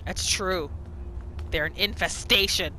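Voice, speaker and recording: A woman hosting a roleplay gaming video, giving casual, expressive commentary as she plays. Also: fairly low pitch, measured pace, slight background noise, rough recording. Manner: expressive, reactive. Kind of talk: casual let's play commentary mixed with in-character roleplay. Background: game music.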